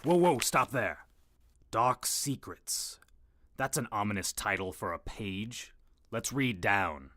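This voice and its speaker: A man playing an indignant, sarcastic reporter husband in a comedic audio drama, melodramatic and quick to wisecrack. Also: lowish voice, expressive, a bit bright, slight background noise. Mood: wry.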